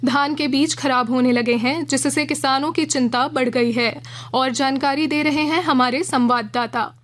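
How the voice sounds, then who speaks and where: A woman reading a regional radio news bulletin in a measured, formal way from a studio. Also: sits high, fairly even intonation. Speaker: news reader.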